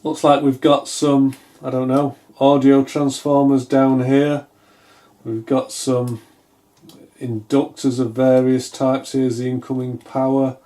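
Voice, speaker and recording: A man's voice: mid-range voice, little pitch movement, takes it slowly, dark tone. A man giving a casual hands-on repair commentary, tentatively thinking aloud as he works. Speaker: electronics repair hobbyist, video host. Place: indoors at a wooden workbench.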